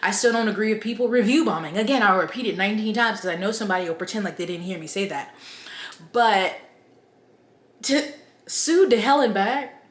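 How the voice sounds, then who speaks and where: A woman's voice: mid-range voice, a little fast, roomy sound. A woman book vlogger giving casual, chatty opinion commentary with wry, incredulous asides.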